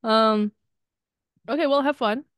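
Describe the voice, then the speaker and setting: A woman's voice: fairly high pitch, slow, a bit bright, clean tone, no echo. A young woman co-hosting a podcast, talking in rambling casual chat, swearing and laughing often. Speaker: podcast co-host.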